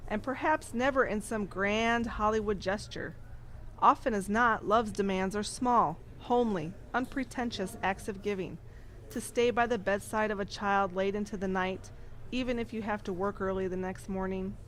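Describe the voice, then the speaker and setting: A woman playing an earnest, kindly nun who tells stories and teaches with a deliberate, emphatic delivery. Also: mid-range voice, fairly bright tone, a little hiss or hum, lightly acted. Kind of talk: audio drama storytelling.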